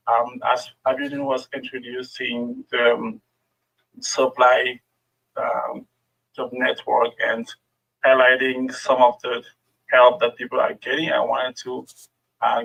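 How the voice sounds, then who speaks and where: A man's voice: medium pitch, gravelly, fairly bright tone, rough recording. A middle-aged man with a French accent and French as his first language, giving a friendly workshop presentation over a video call with many fillers. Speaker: guest speaker.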